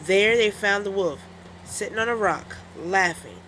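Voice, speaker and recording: A woman storyteller narrating a children's story in an expressive, deliberate read-aloud style. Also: medium pitch, a little hiss or hum, low-quality recording.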